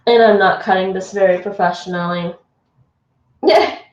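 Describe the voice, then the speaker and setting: A woman's voice: medium pitch, fairly even intonation, moderate pace, far from the mic, highs cut off. A woman from Toronto, Canada, chatting casually with her stream chat while cooking in a home kitchen, laughing often. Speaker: livestreamer. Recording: echoey room, voice off-mic.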